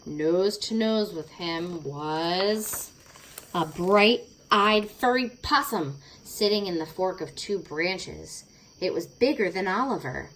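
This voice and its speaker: A woman's voice: lowish voice, fairly bright tone, slight background noise, rough recording. A woman narrating a children's storybook expressively, stretching words for dramatic effect. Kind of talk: expressive children's read-aloud.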